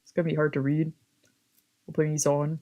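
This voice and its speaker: A woman's voice: low voice, completely flat delivery, brisk, lo-fi sound, music in the background. A woman streamer rambling casually and playfully as she gets ready to play a game, with informal, sweary, self-deprecating talk. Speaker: VTuber streamer playing a visual novel. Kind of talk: casual rambling livestream chat. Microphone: old, cheap microphone.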